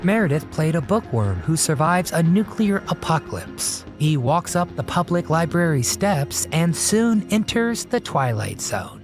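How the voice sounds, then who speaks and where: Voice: medium pitch, very animated, measured pace, hardly any pauses. A narrator reading a scripted documentary-style video in a presentational way. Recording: music bed behind the voice. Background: music.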